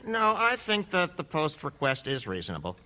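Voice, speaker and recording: A man's voice: a little high, huge pitch swings, bright, forceful tone, exaggerated voice. An actor playing a firm, patient male judge who runs a courtroom hearing with authority. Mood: stern. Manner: authoritative, deliberate, emphatic. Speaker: judge presiding over a hearing.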